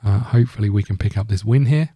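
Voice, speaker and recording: A man with a very dark, relaxed voice on the low side talks through a video game. He rambles casually, thinking aloud as he hosts. The sound is dry and close. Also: fast, slightly rough, dry recording. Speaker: gaming video host. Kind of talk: casual let's-play commentary.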